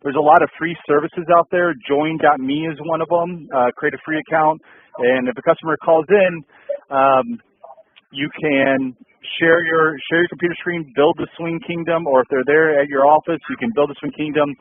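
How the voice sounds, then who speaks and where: A man's voice: fairly high pitch, steady tone, moderate pace, no echo. A man hosting a business update call, talking casually with many ums and uhs and repeating his points. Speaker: host of a dealer update call. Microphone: phone line. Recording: tinny dry phone line.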